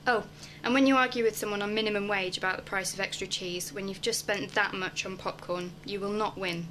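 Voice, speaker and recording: A woman's voice: medium pitch, fairly even intonation, brisk, fairly bright tone, a little hiss or hum, close to an everyday voice. A woman playing a fed-up, sarcastic minimum-wage worker delivering a scathing, wordy rant in a radio play.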